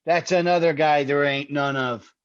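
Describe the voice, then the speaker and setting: An actor playing a cynical, streetwise suspect in a 1950s-style radio crime parody, defiant, sneering and slangy. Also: male voice, a little high, fairly even intonation, normal speed, clean tone, highs cut off, lightly acted. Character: cocky, cynical male suspect, streetwise grumbler.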